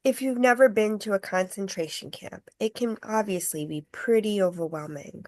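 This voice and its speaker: A woman acting a polite, earnest tour guide, measured and explanatory, in a film script read. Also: medium pitch, a little mellow, no echo, natural voice. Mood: solemn, earnest.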